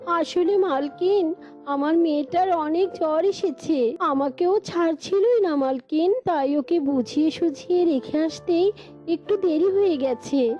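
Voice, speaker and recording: A woman voicing a meek, apologetic housemaid who pleads deferentially in a cartoon. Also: high-pitched. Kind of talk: Bengali cartoon dialogue. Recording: dull lo-fi sound with music.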